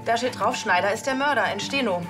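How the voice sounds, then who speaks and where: A woman's voice: on the high side, fast talker, over music, lightly acted. A woman playing an eager, clever police colleague in a TV crime comedy. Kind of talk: naturalistic TV acting.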